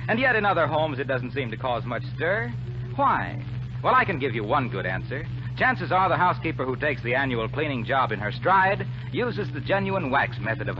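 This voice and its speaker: Animated American radio announcer, higher-pitched man. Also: background noise. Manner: polished, persuasive. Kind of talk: 1940s radio advertising pitch. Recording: muffled 1940s radio recording. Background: music.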